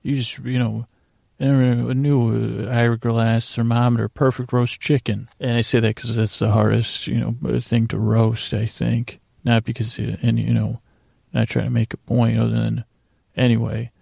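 Very creaky, meandering man's voice. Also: medium pitch, level tone, dark tone, dry recording. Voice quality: creaky, gravelly. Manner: meandering, hesitant, with long pauses and stammered restarts. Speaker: host of a bedtime story sleep podcast. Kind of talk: rambling, soothing bedtime monologue. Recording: faint music under a dry voice.

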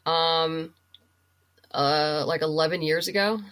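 A woman's voice: deep voice, fairly even intonation, takes it slowly, fairly bright tone. A middle-aged woman chatting and explaining things casually as a guest in an interview. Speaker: podcast guest, writer and producer.

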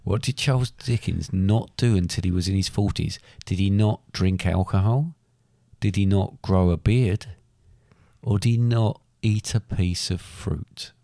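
A man hosting a casual podcast quiz, reading questions out slowly and deliberately while losing his voice and clearing his throat. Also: sits low, dark tone, dry recording, studio quality. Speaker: podcast co-host running a quiz.

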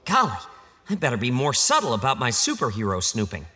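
A man's voice: medium pitch, wildly swooping pitch, fairly quick, pushed and bright, faint music behind, full character voice. A man playing a bumbling, earnest reporter with a secret heroic life, in theatrical 1930s radio play style. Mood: secretive, earnest. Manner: theatrical, gee-whiz earnestness.

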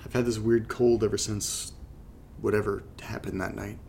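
An actor playing a troubled young man recording a halting, confessional audio diary for a horror drama, his voice slightly congested. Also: on the low side, flat delivery, gravelly, darkish tone, a little hiss or hum. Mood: uneasy, wry.

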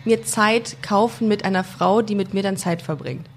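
A woman's voice: medium pitch, normal speed. A woman hosting a podcast in casual interview chat, joking and talking with many fillers and restarts. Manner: chatty and jokey, with frequent fillers and restarts. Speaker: podcast host.

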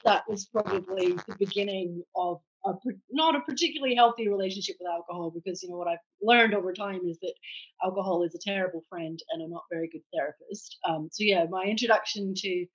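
A woman's voice: mid-range voice, fairly bright tone, breathy edge, muffled recording. A Scottish woman in her forties, an author telling her story candidly and reflectively in a podcast interview. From Scotland. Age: 40s. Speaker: author guest.

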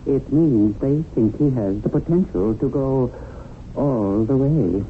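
An actor playing a stern, exacting heavenly supervisor in a 1970s radio drama, officious, skeptical and reproving. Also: male voice, medium pitch, slow pace, close to an everyday voice. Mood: grave, skeptical. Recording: tinny, faintly noisy vintage radio broadcast.

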